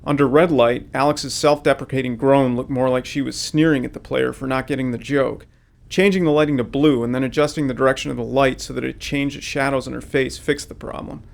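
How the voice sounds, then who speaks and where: Male, medium pitch, creaky, scripted game developer commentary. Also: steady tone, brisk.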